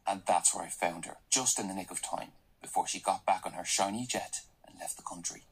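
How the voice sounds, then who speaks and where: A man's voice: sits low, fairly quick, gravelly, a bit bright, husky, exaggerated voice, rough recording. An actor in a radio drama playing a wry, idealistic male employee turned whistleblower, speaking in a sardonic, conversational way. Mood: wry narrating. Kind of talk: radio drama acting and first-person narration. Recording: faint noise, played back through a speaker.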